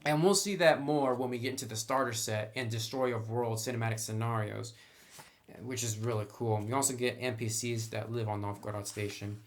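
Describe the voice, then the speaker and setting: A man's voice: mid-range voice, fairly even intonation, brisk. Casual man reviewing tabletop games. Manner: informal, conversational, opinionated.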